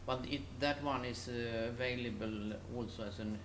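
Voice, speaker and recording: A man lecturing informally to a class, speaking in a halting, hesitant way with non-native phrasing. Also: mid-range voice, fairly even intonation. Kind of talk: informal classroom talk to students. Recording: echoey room with clear background noise.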